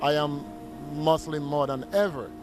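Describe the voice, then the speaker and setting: A man's voice: on the high side, fairly even intonation, a little slow, music in the background. A man speaking earnestly and hesitantly in an interview. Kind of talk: recorded interview.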